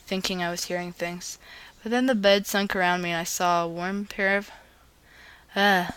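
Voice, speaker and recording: A woman reading romantic fan fiction aloud in a casual, expressive amateur style, stumbling over words and restarting as she goes. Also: on the low side, rough recording. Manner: gushing asides.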